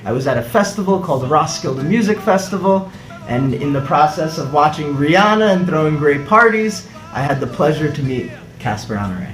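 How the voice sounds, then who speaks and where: A man, a startup co-founder giving an earnest pitch with rhetorical questions. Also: expressive, a little fast, a bit bright. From United States. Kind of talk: scripted pitch video. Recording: echoey room, faint music behind.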